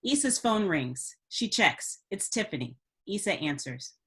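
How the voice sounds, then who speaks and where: A woman's voice: medium pitch, very animated, measured pace, a bit bright, husky. A woman reading stage directions as scripted narration for a screenplay table read.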